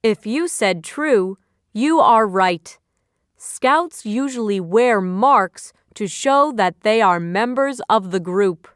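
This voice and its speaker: A woman reading a scripted educational quiz in measured, instructional phrases, like a teacher. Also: mid-range voice, animated, smooth, dry recording, clean studio sound.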